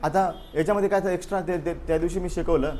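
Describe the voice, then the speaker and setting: A man's voice: high voice, steady tone, fast, a little mellow, a little hiss or hum. A man teaching a live exam-coaching class, going over a past paper and prodding students with frequent "okay" and "right" fillers. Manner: repeats "बरोबर आहे" and "ओके" as fillers, prods students directly.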